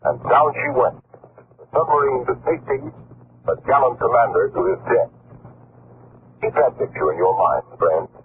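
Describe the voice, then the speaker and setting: A man's voice: medium pitch, very animated, moderate pace, pauses often. A man announcing on 1940s radio in a dramatic, solemn, emphatic scripted narration. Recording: scratchy muffled 1940s radio recording.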